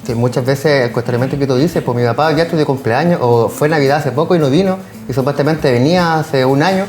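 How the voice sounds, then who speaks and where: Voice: medium pitch, quick pace, a little mellow. A social worker with a Chilean accent, explaining casework conversationally as an interview guest. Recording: roomy echo, faint background noise.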